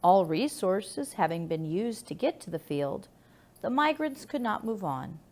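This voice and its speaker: Measured woman reading aloud. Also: medium pitch, lively intonation, a little mellow. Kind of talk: audiobook-style scripted narration.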